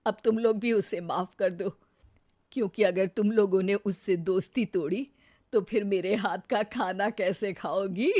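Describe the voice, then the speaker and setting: A woman playing a humble, forgiving older village mother-in-law in a cartoon moral story, gentle and motherly. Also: medium pitch, measured pace, no room sound, studio quality, natural voice. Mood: forgiving, earnest.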